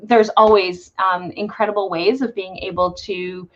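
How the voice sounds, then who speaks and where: A woman hosting a live-streamed interview, bubbly and excited, with many ums and uhs. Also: mid-range voice, moderate pace, lo-fi sound. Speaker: host.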